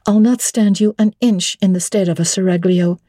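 Woman voicing a spirited, husky young governess. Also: medium pitch, steady tone, normal speed, warm tone, dry recording, studio quality, close to an everyday voice. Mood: indignant. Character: spirited, defiant young English governess bride-to-be. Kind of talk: dramatic classic audiobook reading.

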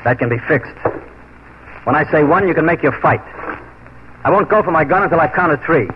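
An actor playing a grim, vengeful frontier marshal with a firm, clipped manner in a 1940s radio play. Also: male voice, a little high, expressive, a little fast, background noise, natural voice. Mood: cold. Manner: firm, clipped, cold resolve. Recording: muffled old radio recording.

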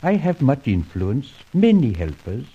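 A man acting a courteous, patient older Chinese gentleman in an old radio drama, with formal, deliberate and ornate phrasing. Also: mid-range voice, huge pitch swings, slightly rough, exaggerated voice. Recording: muffled old radio recording.

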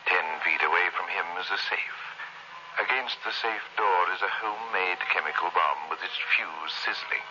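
Very deep, ominous old-radio horror host. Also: male voice, slight background noise. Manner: slow, theatrical, ominous delivery. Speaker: announcer and horror show host. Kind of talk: 1960s radio horror anthology narration. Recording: muffled old radio broadcast, loud music.

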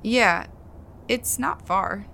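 A woman's voice: medium pitch, very slow, sharp tone, full character voice. An actress playing a sassy, guarded farm woman, casual and naturalistic, in an audio drama. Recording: clear outdoor background noise. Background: outdoor park ambience.

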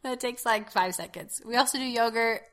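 Hoarse, bright-voiced woman chatting. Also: on the high side, moderate pace. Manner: easygoing, laughs often. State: hoarse, scratchy voice. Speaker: podcast co-host. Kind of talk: casual chat.

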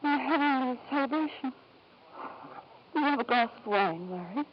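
A woman's voice: high-pitched, expressive, moderate pace. An actress playing a grieving, hard-drinking middle-aged mother in an old-time radio drama, bitter, weary and theatrical. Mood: bitter, wistful. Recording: dull, noisy 1940s-50s radio recording.

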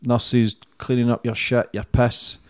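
A man's voice: mid-range voice, flat delivery, a little slow, clear, resonant tone. A man hosting a podcast, punchy and hyped, swearing casually as he introduces and questions his guest. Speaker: podcast host. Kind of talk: casual interview.

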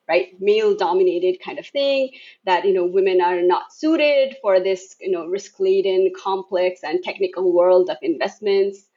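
A woman's voice: medium pitch, a little mellow, dull audio. A woman from Bangladesh, a guest on a casual talk show, speaking thoughtfully with many fillers and restarts. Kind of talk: casual podcast interview.

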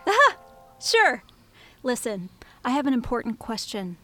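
High, slow-talking eccentric woman. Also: sing-song, bright, forceful tone, faint music behind, exaggerated voice. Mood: awkward, hesitant. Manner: dramatic, intense, drawn-out delivery. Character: eccentric, craving female physicist, a diner regular.

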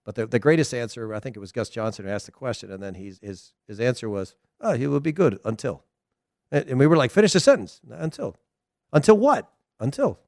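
A middle-aged man with a Southern American accent, hosting a casual soccer talk show, chatty and animated with frequent laughs. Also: mid-range voice, brisk, gravelly, dry recording. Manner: chatty, animated, laughs often, loose restarts. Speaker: soccer podcast host. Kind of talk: casual solo commentary.

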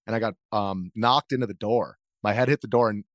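A man's voice: on the low side, fairly quick, no room sound, clean studio sound. An American man being interviewed, telling anecdotes informally, interrupting himself and acting out what people said. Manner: informal, self-interrupting storytelling with acted-out quotes. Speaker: interview guest, video journalist. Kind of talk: casual anecdotal interview talk.

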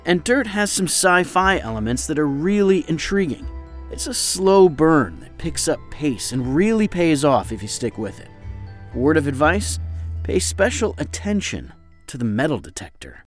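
Male podcast host, slightly high voice, lively intonation, scripted recommendation spoken straight to the listener. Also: normal speed, faint music behind. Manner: conversational, addresses listener directly.

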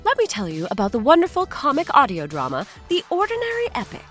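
Casting: female comic podcast host, theatrical, hugely swinging pitch, bright voice, awkward asides. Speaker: podcast presenter. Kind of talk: comic scripted monologue.

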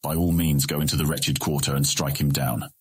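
An extremely deep, rough-edged guild master with a notorious temper , acted out by a man. He commands with biting sarcasm and open menace in a fantasy audiobook, his voice grating and husky. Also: flat delivery, a little fast. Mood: sarcastic fury. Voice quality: gravelly, grating. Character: furious, menacing male guild leader with famous temper.

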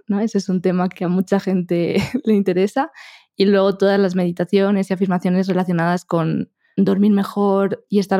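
A young woman from Spain with a Spanish accent, a meditation podcaster chatting casually as a guest, soft-spoken and laughing often. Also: mid-range voice, dry recording, clean studio sound. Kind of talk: casual interview chat.

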